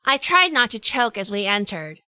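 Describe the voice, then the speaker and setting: A woman playing a sardonic, quick-witted female detective in a hardboiled noir audio drama, narrating and talking with wry humor. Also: mid-range voice, sing-song, bright, forceful tone, clear, resonant tone, dry recording. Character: sardonic quick-witted 1940s female gumshoe, weary wife.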